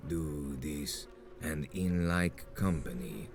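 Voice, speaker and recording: An actor playing a menacing, grandiose vampire leader in a video game, speaking in slow, solemn, ornate phrases with cold seduction. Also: male voice, a bass voice, noisy background, exaggerated voice. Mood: grand, tempting. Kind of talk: video game villain dialogue.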